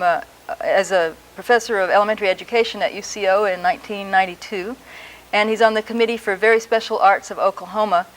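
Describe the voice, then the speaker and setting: A woman's voice: mid-range voice, rough recording. A woman hosting a broadcast interview, asking polite questions and giving frequent encouraging listening sounds. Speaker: host.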